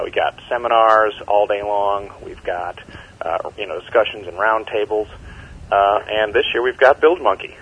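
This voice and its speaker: Deep male voice, enthusiastic event guest, muffled lo-fi phone recording. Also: slightly rough. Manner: rambling, many fillers, enthusiastic. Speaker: event organizer, interview guest. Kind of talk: phone interview.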